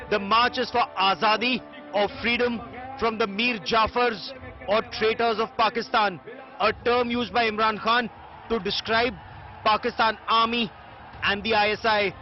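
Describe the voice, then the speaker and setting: High-pitched emphatic Indian news anchor, male. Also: muffled recording. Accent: Indian English. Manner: emphatic, repetitive, theatrical delivery. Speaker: TV news anchor and debate host. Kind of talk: dramatic news presenting and pointed questioning.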